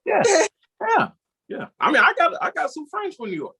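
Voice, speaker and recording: A man's voice: extremely high pitch, huge pitch swings, normal speed, gravelly, sharp tone. A man hosting a casual conversation, laid-back and swearing freely. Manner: laid-back, casual swearing, "you know what I'm saying". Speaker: host.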